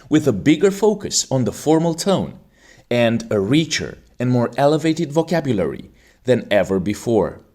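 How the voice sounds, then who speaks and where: A man's voice: medium pitch, darkish tone. A man from Romania, hosting an exam-prep podcast and playing the examiner, in a formal, teacherly way. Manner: articulate, formal teacherly delivery.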